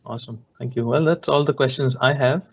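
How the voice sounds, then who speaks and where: Man, medium pitch, moderator, relaying questions, slightly dull call audio. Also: fairly quick, slightly rough. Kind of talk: online Q&A moderation.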